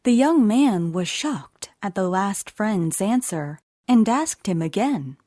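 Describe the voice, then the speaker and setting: A woman narrating a scripted children's bedtime story in an expressive, deliberate way. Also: mid-range voice, dry recording, studio quality.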